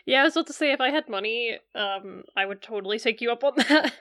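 A woman hosts a relaxed podcast, sounding chatty and quick to laugh. Her fairly high voice has a gravelly fry. The recording is dry and close. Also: no room sound. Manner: chatty, laughs often, uses filler words. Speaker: podcast host. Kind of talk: casual podcast chat.

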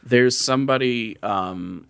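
A man's voice: mid-range voice, takes it slowly, creaky, fairly bright tone, clean tone, dry recording. A man hosting a podcast, talking casually and comedically with a wry, profane streak. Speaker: podcast host.

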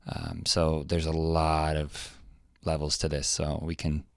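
A man co-hosting a podcast, musing out loud in a hesitant way with many restarts. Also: very deep voice, monotone, a little slow, gravelly, no echo, studio quality. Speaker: podcast co-host. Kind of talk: casual podcast chat.

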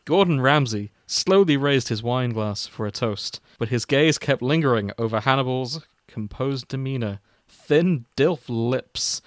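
A man's voice: medium pitch, animated, a little slow, hardly any pauses, no echo, clean studio sound. A man hosting a comic fan fiction reading, narrating theatrically with amused, casual banter.

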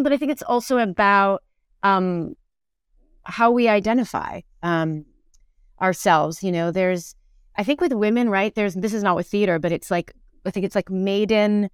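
A woman, chatting as an interview guest in halting, reflective phrases. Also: medium pitch, clean tone, no echo, studio quality. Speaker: actor and singer, podcast guest. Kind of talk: casual interview conversation.

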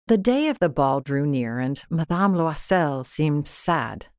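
Low-voiced woman narrating a classic story. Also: no room sound, clean studio sound. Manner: measured, expressive, dramatic. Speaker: audiobook narrator. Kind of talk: scripted literary story narration.

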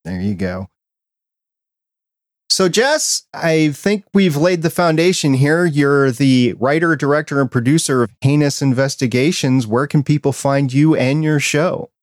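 A man's voice: fairly high pitch, unhurried, no room sound, clean studio sound. A man hosting a casual podcast interview in a conversational way. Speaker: podcast host.

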